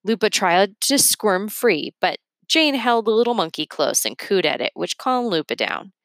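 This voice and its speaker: A woman's voice: medium pitch, sing-song, moderate pace, a bit bright, dry recording. Woman reading a story aloud, close dry sound. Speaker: reader of a children's book. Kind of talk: read-aloud storytelling with character voices.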